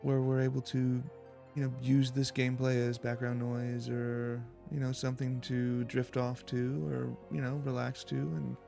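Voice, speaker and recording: A man hosting a relaxed video game let's play, talking in a low-key, halting, casual way over music. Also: gravelly. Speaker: host of a gaming let's play. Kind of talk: relaxed, slow-paced let's play commentary. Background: soft music.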